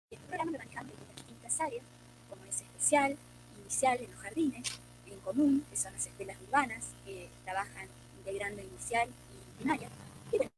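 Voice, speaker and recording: A woman's voice: sits high, background noise. Uruguayan woman rural teacher. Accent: Uruguayan Spanish. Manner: explanatory, with filler "este". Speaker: rural school principal and teacher. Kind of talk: recorded video presentation.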